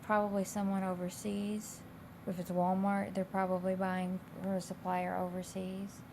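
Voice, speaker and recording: A woman instructor with a slightly raspy, hoarse voice, explaining coursework in a casual, loosely phrased, conversational way. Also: mid-range voice, monotone, moderate pace. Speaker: college instructor. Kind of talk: informal explanation of course assignments. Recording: clear background noise.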